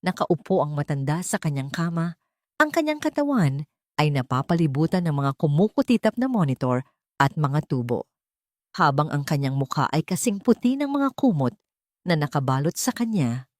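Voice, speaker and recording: Female narrator, low register, solemn and emotive, for dramatic audio fiction; close, dry studio sound. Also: very animated, normal speed, darkish tone, dry recording. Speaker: story narrator.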